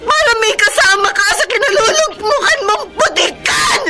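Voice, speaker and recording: A woman's voice: extremely high pitch, animated, normal speed, loud music behind the voice. A young woman playing an emotional, hard-working younger sister in a radio drama, with intense feeling. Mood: anguished, angry. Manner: tearful, pleading, emotionally intense. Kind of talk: Tagalog radio drama acting.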